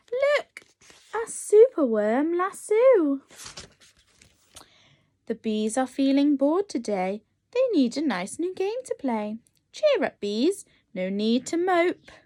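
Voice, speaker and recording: A woman reading a picture book aloud as a nursery teacher, expressive and encouraging as she addresses young children. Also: sits high. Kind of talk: animated children's storytime reading.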